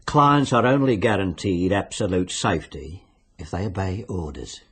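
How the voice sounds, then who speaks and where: Sly, low-voiced British fixer. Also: male voice, normal speed, husky. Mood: coolly businesslike. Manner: insinuating, euphemistic, coolly businesslike. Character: sly, genial bookmaker-like fixer arranging deaths by wager. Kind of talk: British radio drama acting.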